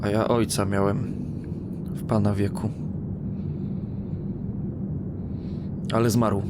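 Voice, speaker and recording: Casting: actor as brooding young man, audio drama lead, low deadpan delivery, vehicle noise behind. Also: moderate pace, close to an everyday voice. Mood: subdued. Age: young adult. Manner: weary, terse, deadpan, swearing. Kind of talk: audio drama first-person narration and dialogue.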